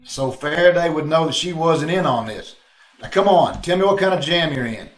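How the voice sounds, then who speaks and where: A man's voice: on the high side, moderate pace, lightly acted. A man playing a cocky, tough, wisecracking amateur sleuth in the style of a 1940s radio drama. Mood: calm, confident. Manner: wisecracking, self-assured. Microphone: video call. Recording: echoey online-call recording with background noise.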